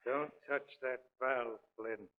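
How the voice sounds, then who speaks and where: A man's voice: mid-range voice, steady tone, takes it slowly, natural voice. A man playing a dying, vengeful victim left for dead, strained and grimly determined, in 1930s radio drama style. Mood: weak menace. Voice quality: gravelly, strained. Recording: echoey, muffled 1930s radio recording.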